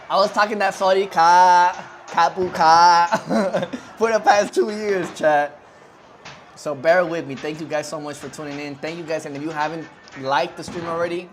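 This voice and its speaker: A man whose first language is Spanish, hosting a casual livestream and talking loosely to his chat with lots of fillers. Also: a little high. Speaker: livestream host.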